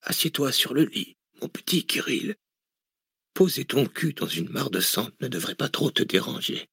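A man voicing a gravely wounded old billionaire who speaks in a weak, breathy whisper, slow and mocking. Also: medium pitch, very animated, fairly bright tone, dry recording, studio quality, voice pushed up, exaggerated voice. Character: dying old male billionaire, weak, sly, sarcastic.

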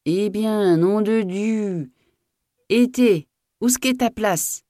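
A woman voicing an old male farm master in a storybook reading, with blunt, bossy, rustic speech and a quick temper. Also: mid-range voice, dark tone, no room sound, studio quality, voice pushed up, lightly acted. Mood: furious. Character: old, jovial, hot-tempered male farm master who bellows orders.

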